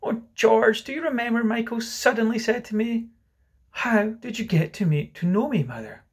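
A man voicing a gentle, placid mother in a storybook, soft, tender and wistful. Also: very high voice, lively intonation, moderate pace, slightly rough, voice pushed up, heavily acted. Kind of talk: storybook character voice.

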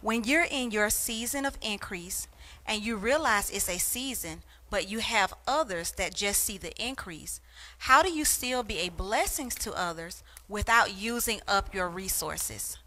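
A woman reading out a viewer's question in a plain, measured way. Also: mid-range voice, expressive, sharp tone, poor audio. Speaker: question reader.

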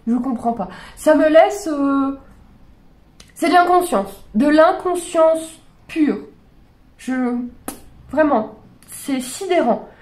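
A woman in her forties, slightly hoarse from a cold, giving exasperated, incredulous reaction commentary to videos from her bedroom desk, with many fillers and restarts. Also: sits high, expressive, slow, long pauses, darkish tone. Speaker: horse channel host reacting to videos. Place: small bedroom with gaming chair.

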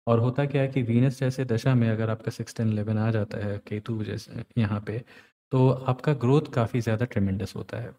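Man, astrologer teaching, mellow voice, long reverb, on words. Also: medium pitch, fairly even intonation, moderate pace. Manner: explanatory, mixes English phrases into Hindi. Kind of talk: screen-recorded chart analysis. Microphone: voice-over on screen recording.

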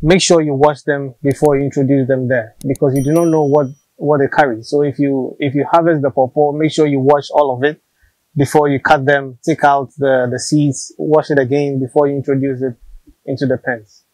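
A man's voice: medium pitch, level tone, normal speed, a little mellow, no room sound, rough recording. A man in his thirties with a Ghanaian English accent, explaining his farm practice outdoors on a lapel mic, patient and practical, often repeating words. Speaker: snail farmer. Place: open-sided farm shelter outdoors.

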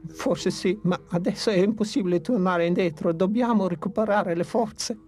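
A woman voicing an old, wise male dinosaur inventor in a cartoon, speaking calmly and gently. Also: mid-range voice, normal speed, husky, faint music behind, close to an everyday voice. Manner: calm, reasoning, gentle.

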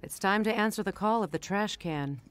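A woman plays a hungry little raccoon plotting its next meal in a game trailer. The voice is middling in pitch, scripted and comic, over music. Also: moderate pace, clear, resonant tone, natural voice. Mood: wry, hungry. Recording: music under the voice.

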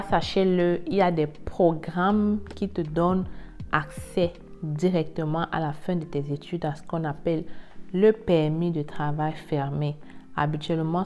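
A woman's voice: lowish voice, darkish tone. A woman in her thirties hosting an informal explainer video, talking directly and emphatically and repeating key words for stress. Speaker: video host and immigration coach. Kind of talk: informal explainer talk to camera. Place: indoor room at home. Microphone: lapel mic.

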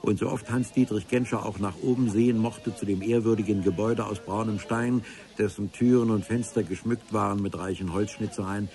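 A man's voice: on the low side, dark tone. A man narrating a radio adventure serial in the first person, with a dry, ironic storytelling manner. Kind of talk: scripted radio-play narration. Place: radio drama studio.

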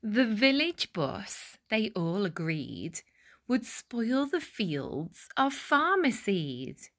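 A woman reading a rhyming children's storybook aloud with deliberate, dramatic phrasing. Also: medium pitch, big pitch swings, fairly bright tone. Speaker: picture book reader.

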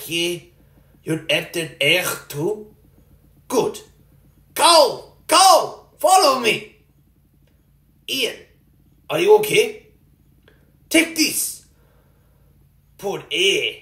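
A woman's voice: mid-range voice, fairly bright tone. A woman performing short, exclamatory cartoon character lines as an amateur voice actor, in a theatrical manner. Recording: roomy, echoey home recording.

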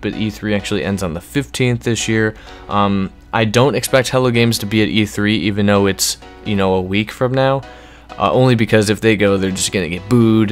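Low-pitched man, casual gaming commentary over music. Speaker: video game news YouTuber. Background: background music under the voice-over.

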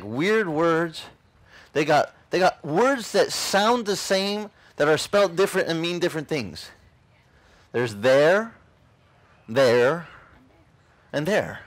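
Animated middle-aged pastor. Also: male voice, fairly high pitch. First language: Italian. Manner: animated, acts out other people's lines. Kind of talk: storytelling sermon. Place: outdoors on a beach.

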